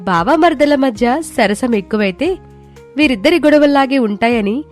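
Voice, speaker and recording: Female storyteller, scripted narration, fairly high voice, light music behind. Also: lively intonation, moderate pace.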